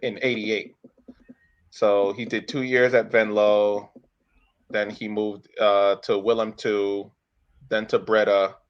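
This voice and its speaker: A man in his thirties talking casually about sport as a podcast host, halting and searching for words as he recounts details. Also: on the low side, a bit bright, dull audio. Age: 30s. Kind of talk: casual sports talk, recounting a player's career.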